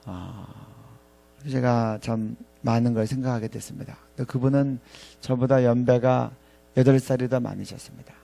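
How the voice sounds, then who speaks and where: A man in his fifties delivers a reflective chapel sermon. His voice is mellow and creaky, sitting at an average pitch. He speaks haltingly, pausing often and circling back to repeat phrases. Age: 50s. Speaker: professor preaching at a ministry staff chapel. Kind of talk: reflective sermon with scripture readings.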